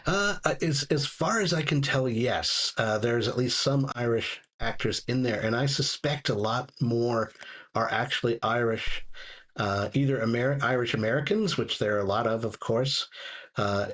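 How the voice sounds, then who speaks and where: Male guest reviewer, mid-pitched with a husky edge, conversational, stumbles and restarts as he searches for words. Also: a bit bright. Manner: halting, stammering, repeats words while searching for phrasing. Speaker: guest reviewer of audio dramas. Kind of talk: casual talk, reviewing.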